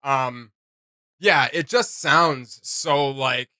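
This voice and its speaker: A man's voice: medium pitch, extremely slow, pushed and bright, no room sound. A man hosting a reaction video, giving casual commentary with emphatic delivery.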